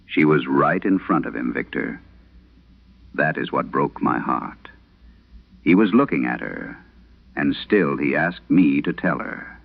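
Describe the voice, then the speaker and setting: Man playing a very deep, grave old priest. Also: vocal fry, phone-quality sound, heavily acted. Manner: grave, measured, dramatic pauses. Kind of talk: solemn first-person horror radio storytelling. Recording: band-limited vintage radio sound.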